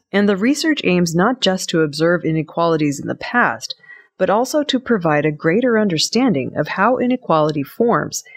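A woman's voice: on the low side, breathy edge. A woman reading scripted news narration as the presenter of an audio news programme. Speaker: news reader.

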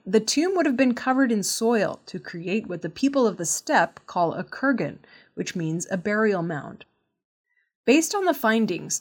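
A woman reading a scripted news report in a measured way, carefully enunciating technical terms. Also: medium pitch, slightly rough. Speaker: news reader.

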